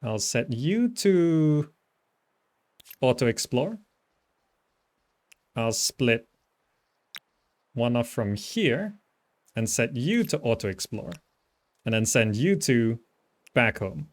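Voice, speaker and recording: A man's voice: mid-range voice, big pitch swings, takes it slowly, long pauses. A man giving casual live commentary as he streams a strategy game, thinking aloud as he plays. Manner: thinking aloud while playing.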